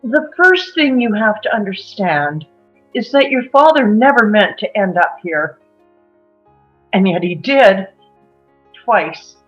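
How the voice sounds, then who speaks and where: A woman playing a guarded, wistful small-town woman who confides old secrets haltingly in a drama table read. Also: medium pitch, animated. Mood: wistful, storytelling. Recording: muffled, music underneath.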